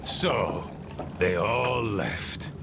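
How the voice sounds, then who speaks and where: Gravelly, bitter old boat commander. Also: male voice, lowish voice, extremely slow, background noise, exaggerated voice. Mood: scornful. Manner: theatrical, grumbling, rambling. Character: bitter, commanding, eccentric old boatman called Admiral. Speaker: game character, leader of a small river crew. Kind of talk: dramatic video game monologue.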